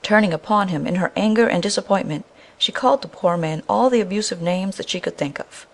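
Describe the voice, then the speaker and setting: A woman's voice: mid-range voice, brisk. A woman narrating a scripted fairy tale as a storyteller.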